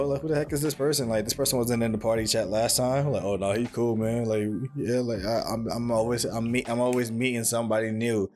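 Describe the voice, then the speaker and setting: A young man hosting a solo podcast, talking in a soft, calm voice that can't project, rambling thoughtfully with many fillers and restarts. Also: lowish voice. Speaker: podcast host. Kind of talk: reflective solo podcast monologue.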